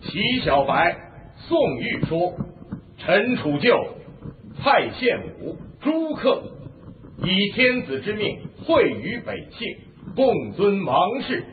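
An actor playing a solemn herald in a historical radio drama, proclaiming in a ceremonial, drawn-out manner. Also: male voice, sits high, big pitch swings, exaggerated voice. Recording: thin phone-like sound, faint noise.

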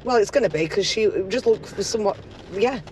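A woman's voice: medium pitch, brisk, darkish tone, slight background noise. A young woman bantering cheekily with her co-host in a car. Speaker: prank show co-host. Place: inside a car.

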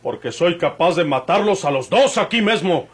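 An actor playing a bold, hot-tempered young outlaw with a rural Mexican accent and an emphatic rustic manner, in an old radio drama. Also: male voice, sits high, expressive, normal speed, slightly rough, no room sound. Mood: angry, threatening. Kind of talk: golden-age Mexican radio drama acting.

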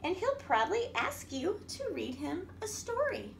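Very high-pitched, sing-song woman reading to children. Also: moderate pace, gravelly. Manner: expressive, sing-song, addressing young children with questions. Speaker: children's librarian, storytime host. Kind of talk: picture-book read-aloud for young children. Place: indoor room at a wooden table by a window. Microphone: camera across the table. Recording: echoey room with background noise.

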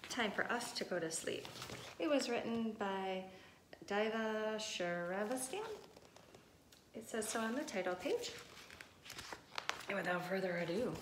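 A woman, a preschool teacher, reading aloud and talking to young children with simple, expressive phrasing. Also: mid-range voice. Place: indoor room with tiled wall. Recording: echoey room with noticeable hiss.

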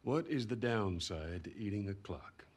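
An actor playing a gruff but tender middle-aged father figure in a game cutscene, with a halting, folksy, understated manner. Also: on the low side, lively intonation, darkish tone, a little hiss or hum, lightly acted. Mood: tender, quiet. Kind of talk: naturalistic game cutscene acting.

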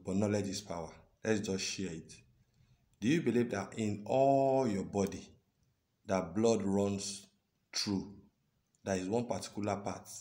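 A man's voice: on the low side, mellow. A man in his thirties from Nigeria with a Yoruba-tinged Nigerian accent, chatting earnestly to his phone camera in a small room and often checking in with "okay?". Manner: chatty, earnest, drawn-out words and frequent "okay?". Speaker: vlogger. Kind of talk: casual selfie vlog talk. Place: indoors, small room with curtains. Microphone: phone at arm's length.

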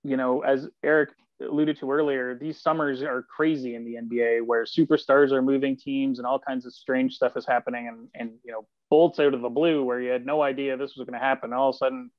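A man's voice: medium pitch, no room sound. A man in his forties giving casual sports analysis as a guest on a podcast. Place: indoor home room with bookshelves, video call. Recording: dry, slightly dull video-call audio.

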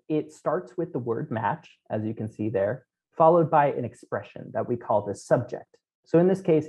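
A man in his thirties giving a technical keynote from a small room, explaining code step by step with frequent ums. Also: mid-range voice. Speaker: conference keynote speaker. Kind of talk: technical conference talk. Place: small room at home, indoors. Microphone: headset. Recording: dull lo-fi remote talk audio.

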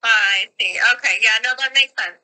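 A woman's voice: fairly high pitch, normal speed, pushed and bright. An American woman handling an official phone call, explaining procedure politely as a sheriff's office call taker.

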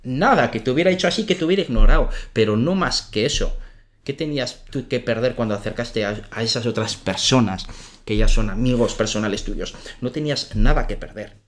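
Guy hosting a podcast, digs in with follow-up questions, mid pitch, desk mic. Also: brisk. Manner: probing, asks layered follow-up questions. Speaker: podcast host. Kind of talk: interviewing. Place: small office studio. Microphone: desk microphone.

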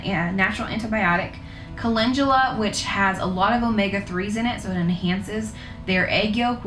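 A woman's voice: medium pitch, echoey room. A woman in her thirties giving a casual how-to talk to the camera from her living-room couch, chatty and conversational with fillers and restarts. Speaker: homesteading author and video host.

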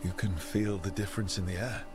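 Male actor as adventurer hero, fairly deep, steady, direct, video game dialogue, soft music. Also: fairly quick, natural voice.

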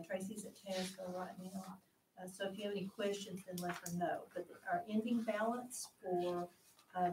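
Woman superintendent reading off numbers, lowish voice, far from mic, echoey noisy room. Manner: matter-of-fact, reads out figures with uh fillers. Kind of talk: giving a report at a board meeting. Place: meeting room, large indoor. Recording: distant, echoey room with background noise.

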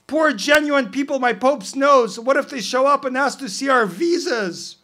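A man doing a high, fussy, worried parrot. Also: animated, moderate pace, pushed and bright, exaggerated voice. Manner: worried, indignant, prim speech. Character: fussy, anxious male parrot lost on an island. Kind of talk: poetry reading, acted dialogue.

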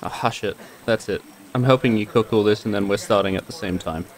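Guy as cocky buddy rival in audio drama, lower voice, casual bickering. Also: fairly quick, lightly acted. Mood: challenging. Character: cocky young man, needling his friendly rival. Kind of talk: roleplay audio drama acting.

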